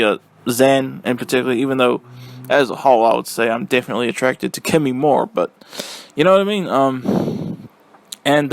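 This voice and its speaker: A man giving a casual, rambling spoken review, halting and slangy, with a silly, giggly manner. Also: medium pitch, dry recording. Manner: silly, giggly, easily cracks up. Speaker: vlogger giving film and game reviews.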